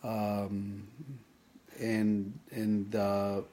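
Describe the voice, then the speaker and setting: A man in his fifties from the Philippines with a Filipino accent, telling his life story in a halting, reflective way with many fillers and restarts. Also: on the low side, monotone, dark tone. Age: 50s. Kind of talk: personal storytelling.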